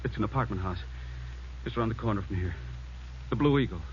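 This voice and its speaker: Guy doing a nervy evasive crook, stammers, fast jumpy voice, muffled old radio sound w/ music. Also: on the low side, very noisy, lightly acted. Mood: frightened. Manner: jittery, stammering, pleading. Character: nervous small-time male crook, evasive and scared. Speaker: suspect. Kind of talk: 1950s radio drama acting.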